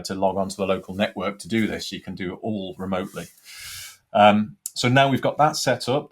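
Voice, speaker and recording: Male product demonstrator, lowish pitch, informal step-by-step tutorial delivery. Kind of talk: software tutorial walkthrough.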